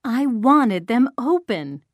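A woman's voice: fairly high pitch, sing-song, slow, no room sound, clean studio sound, voice pushed up. A woman voicing a grumpy, demanding bedridden mother in a storybook, querulous and hard to please. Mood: complaining. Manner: querulous, complaining, drawn out. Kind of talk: storybook character dialogue.

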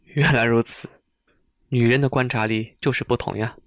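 Actor as earnest, polite gentleman in confessional drama. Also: mid-range voice, fairly even intonation, moderate pace, no room sound, clean studio sound, close to an everyday voice. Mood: polite, apologetic. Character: polite, earnest gentleman confiding an awkward romance. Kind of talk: Mandarin audio drama acting.

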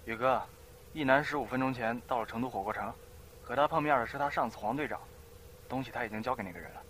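A man plays a dutiful underling in a radio drama, reporting to his boss. His voice sits at an ordinary pitch, with faint noise around it. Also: quick pace, fairly bright tone, close to an everyday voice. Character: deferential male henchman reporting to his boss. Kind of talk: amateur Chinese audio drama acting.

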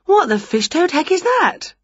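A woman voicing an excitable, bossy, scatterbrained witch in a children's story, full of exclamations and mock swearing. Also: sits high, normal speed, slightly rough, no echo, lo-fi sound, voice pushed up, full character voice. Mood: exasperated.

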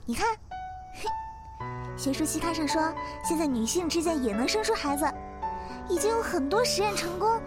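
A woman playing a soft-spoken, tender young woman in a radio drama, gentle and polite. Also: very high voice, animated, moderate pace, long pauses. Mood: eager, affectionate. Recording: music behind the voice.

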